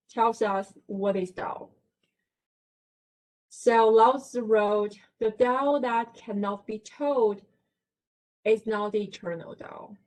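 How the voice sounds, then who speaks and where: A woman's voice: mid-range voice, darkish tone, low-quality recording. A woman from China with a Chinese accent, giving a talk at a library book event; she explains concepts step by step, checks in with her listeners and laughs lightly. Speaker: guest lecturer.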